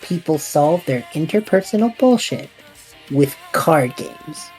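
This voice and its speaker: A woman's voice: low-pitched, lively intonation, takes it slowly, a little mellow, soft background music, poor audio. Treff, a sarcastic traveler, voiced by a woman. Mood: incredulous. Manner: sarcastic, incredulous. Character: Treff, a bewildered young male traveler. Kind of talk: fan audio drama acting.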